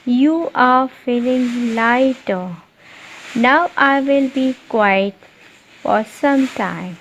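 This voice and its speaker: Very slow, higher-pitched woman guiding meditation. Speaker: meditation guide. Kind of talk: guided meditation, slow instructions. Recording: muffled lo-fi, noisy, birdsong behind. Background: birdsong and ambient nature sounds.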